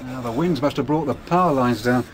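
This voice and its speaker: An actor in a radio drama playing a burly, jovial van driver, blunt and needling, who relishes morbid tales. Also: male voice, mid-range voice, fairly quick, lightly acted. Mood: chirpy, teasing. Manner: teasing, blunt, relishes gruesome storytelling. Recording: noisy old radio drama recording.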